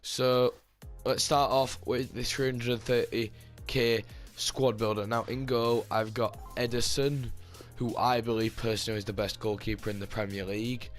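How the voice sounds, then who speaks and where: A man hosting his gaming channel, talking casually about his team with a slightly hoarse voice, rambling with lots of fillers and restarts. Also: medium pitch, level tone, moderate pace, few pauses, soft background music. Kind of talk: casual gameplay commentary.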